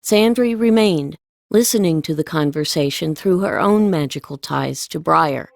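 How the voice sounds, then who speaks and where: A woman's voice: deep voice, normal speed, vocal fry, no echo, studio quality. A woman reading scripted storybook narration as an audiobook narrator.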